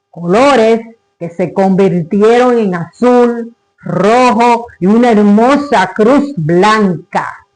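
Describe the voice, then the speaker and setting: A man reciting a literary monologue in a solemn, declamatory, deliberate manner. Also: high-pitched, low-quality recording.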